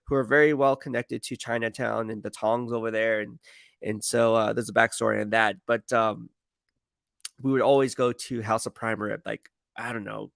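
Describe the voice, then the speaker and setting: A man's voice: fairly low pitch, flat delivery, normal speed, gravelly, a bit bright, dry recording, studio quality. A man chats casually on a sports live stream, rambling with slangy emphasis and often repeating himself as he answers viewers. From San Francisco Bay Area, United States. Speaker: sports streamer and football commentator.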